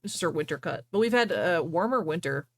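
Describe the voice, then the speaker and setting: A woman's voice: fairly low pitch, animated, fast. A woman livestreamer chatting casually with viewers and reading fan fiction aloud.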